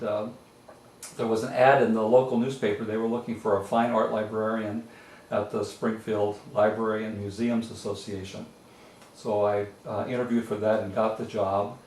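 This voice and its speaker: An older man from western Massachusetts, a native French speaker, answering interview questions in a measured, reflective way with many pauses. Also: on the low side, a little mellow. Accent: mix of French Canadian and American. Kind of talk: oral history interview. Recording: roomy audio from a distance with faint hiss.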